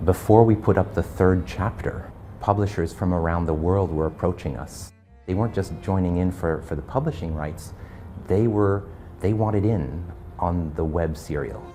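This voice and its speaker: A man's voice: low-pitched, normal speed, dark tone, faint music behind. A man working as an editor, explaining things in a documentary interview. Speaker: book editor.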